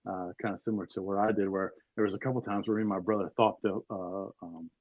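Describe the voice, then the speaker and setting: A man's voice: deep voice, steady tone, fast, dry recording, phone-quality sound. A man in his forties from Maryland, a podcast guest telling stories in a rambling way with lots of fillers. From Maryland, USA. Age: 40s. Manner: rambling storyteller, many fillers. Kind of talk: casual chat.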